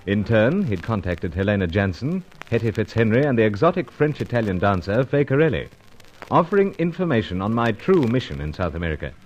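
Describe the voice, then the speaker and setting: An actor playing a suave, resolute British secret agent with a clipped, measured delivery in an old radio serial. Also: male voice, on the low side, very animated, a little mellow, highs cut off, faint music behind. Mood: grave, urgent. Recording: noisy old radio recording with clicks.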